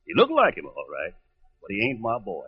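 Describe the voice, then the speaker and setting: Humble elderly countryman, earnest and folksy, lively swings in tone, dull old radio drama sound. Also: fairly low pitch, brisk, husky, exaggerated voice. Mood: earnest, firm. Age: older. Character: humble old country father, earnest, respectful but firm. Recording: muffled vintage radio recording with music.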